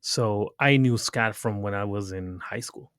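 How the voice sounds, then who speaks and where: A middle-aged man from Ecuador speaks English, telling his story in quick, casual bursts. His voice is on the low side. The studio recording is dry and close. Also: fairly bright tone, clean tone, dry recording. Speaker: guest, retail consultant. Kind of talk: casual interview chat.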